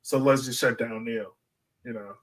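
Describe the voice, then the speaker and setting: A man's voice: medium pitch, steady tone, normal speed, rough recording. A man co-hosting a podcast, giving casual, conversational sports analysis. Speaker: podcast co-host.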